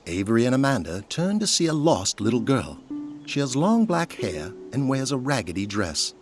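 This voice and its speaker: A man's voice: medium pitch, big pitch swings, moderate pace, over music. A man reading scripted dramatic narration for an audio drama in a descriptive storytelling manner.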